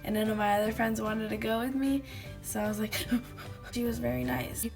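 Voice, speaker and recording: A teenage girl vlogging casually to a webcam in her bedroom, telling stories with lots of fillers and giggles, her voice slightly hoarse from having lost it. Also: a little high, steady tone, normal speed, over music. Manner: chatty, giggly storytelling with many fillers. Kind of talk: casual chat to camera. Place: small bedroom indoors.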